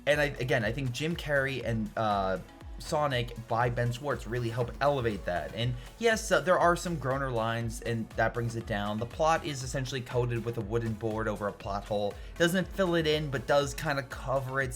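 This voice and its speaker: A man's voice: mid-range voice, moderate pace, fairly bright tone. A man giving a casual, opinionated film review in an informal, emphatic, rambling way. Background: background music.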